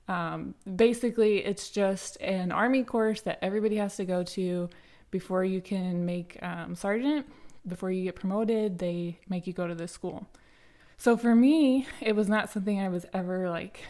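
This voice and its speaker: Chatty young woman, slightly raspy. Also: medium pitch, darkish tone. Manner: chatty, many fillers and restarts, light laughs. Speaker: podcast host. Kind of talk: casual solo podcast storytelling.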